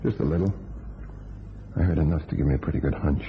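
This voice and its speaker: An actor playing Rusty Connors's type, a tough, scheming ex-convict with terse slangy talk, in a crime radio play. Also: male voice, a bass voice, fast, slight background noise. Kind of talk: old-time crime radio play acting. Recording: tinny band-limited old radio, music behind.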